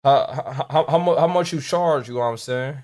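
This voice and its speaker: Young american guy on a prank call, super slangy, keeps stammering n repeating stuff, normal pitch. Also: a little fast, a little mellow. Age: young adult. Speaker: prank caller posing as a rapper client. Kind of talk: casual phone prank chat. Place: small room at home.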